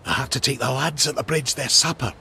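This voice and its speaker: An actor playing a friendly, sly, haggling army cook in a video game. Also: male voice, mid-range voice, brisk, gravelly, sharp tone. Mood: helpful. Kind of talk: video game character acting. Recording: noisy vehicle ambience behind.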